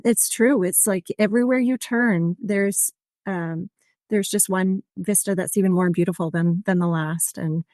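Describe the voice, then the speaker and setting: A woman's voice: mid-range voice, darkish tone, no echo, clean studio sound. A woman who runs motorcycle tours, speaking as a podcast guest in a rambling way with many "um"s. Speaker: motorcycle tour operator, guest. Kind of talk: casual interview.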